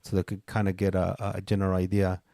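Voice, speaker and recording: A man's voice: low-pitched, monotone, fast talker, mellow, no room sound, studio quality. A man chatting informally and slangily as host of a live stream. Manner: slangy, addressing listeners and caller informally. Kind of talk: casual live-stream chat.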